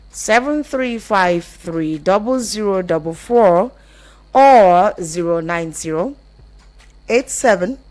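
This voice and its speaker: A woman with a Nigerian accent hosting a radio show in an expressive, conversational way, asking rhetorical questions. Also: mid-range voice, low-quality recording. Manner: expressive, rhetorical questions, some Pidgin phrases. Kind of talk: conversational radio presenting with storytelling.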